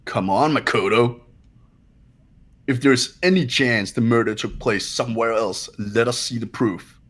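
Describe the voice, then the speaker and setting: A man's voice: mid-range voice, measured pace, fairly bright tone. A man playing a condescending, confident young rich student in an amateur game dub. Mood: disdainful. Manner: condescending, skeptical.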